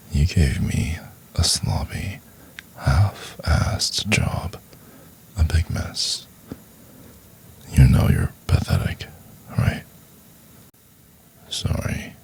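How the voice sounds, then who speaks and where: A man playing a cruel, domineering corporate executive in an intimate ASMR roleplay, condescending and drawn-out, with a gravelly, husky, half-whispered voice. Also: a bass voice, full character voice. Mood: cold, scornful.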